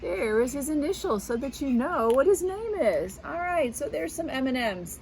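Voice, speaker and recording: A woman's voice: high voice, big pitch swings, moderate pace, darkish tone, slight background noise, low-quality recording. A woman who works as a school media specialist, reading a picture book aloud to children and talking to them in a patient, teacherly way from her back porch outdoors. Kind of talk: children's read-aloud lesson.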